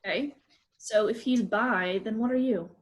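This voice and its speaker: An actress plays a hurt young woman with a slightly high voice. She sounds cold and accusing, in a staged play reading. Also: normal speed, lightly acted. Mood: hurt, bitter.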